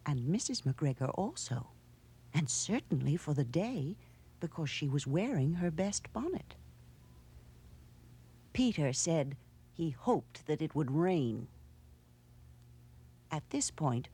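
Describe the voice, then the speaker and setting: A woman reading a children's storybook in a measured, expressive storytelling manner. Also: low-pitched, husky. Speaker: storybook narrator. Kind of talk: scripted children's storybook narration.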